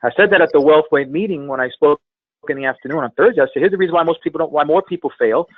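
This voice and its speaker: A man's voice: fairly high pitch, very fast, no room sound. Emphatic male sales coach on tinny phone line. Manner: emphatic, repeats phrases for effect. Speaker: sales trainer, guest speaker. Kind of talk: motivational sales coaching talk on a conference call. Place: conference call. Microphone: telephone. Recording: tinny, band-limited phone conference line.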